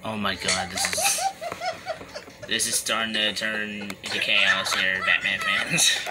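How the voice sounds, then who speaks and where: A man's voice: on the low side, level tone, takes it slowly, heavy background noise. A young man hosting a reaction video from a small dim room through a headset, amused and talking to viewers. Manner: amused, exclaiming, addresses viewers. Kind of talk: casual reaction commentary.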